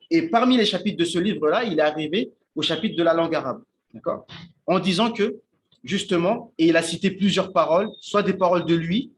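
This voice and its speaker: Halting male teacher, slightly raised pitch. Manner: halting, thinks aloud, repeats and restarts words; checks in with listeners, asks "d'accord?". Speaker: Islamic teacher giving a talk on Arabic. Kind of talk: informal spoken religious lesson. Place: indoors at a shared meal with friends.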